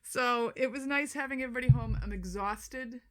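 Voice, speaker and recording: A woman's voice: on the high side, fairly quick. A middle-aged woman co-hosting a podcast in casual, blunt chat, swearing freely and laughing often. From Boston area, USA. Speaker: podcast co-host.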